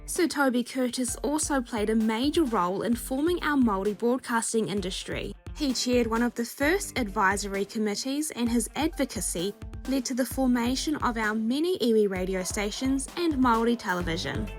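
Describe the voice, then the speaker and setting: A woman, narrating a news feature in a measured, clear way. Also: fairly high pitch, soft background music. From New Zealand. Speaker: news presenter.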